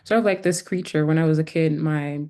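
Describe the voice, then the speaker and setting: A woman's voice: low-pitched, fairly quick, dark tone. A woman photographer from Haiti talking casually about their practice, thinking aloud in an exploratory way. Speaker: photographer, panel guest. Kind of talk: conversational artist talk.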